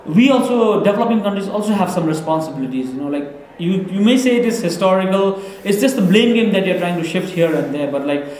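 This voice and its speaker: A man's voice: high-pitched, expressive, fast, few pauses, darkish tone, slight background noise. A man from Nepal, speaking earnestly as a government delegate in an interview, often restarting words. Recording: echoey room.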